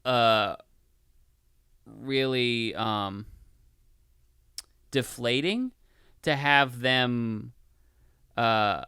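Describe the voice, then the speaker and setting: A man's voice: medium pitch, extremely slow, pauses often, sharp tone, clean tone, dry recording, studio quality. A man co-hosting a podcast, chatting casually about films in a profane, slangy way. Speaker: podcast co-host. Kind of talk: casual film review chat.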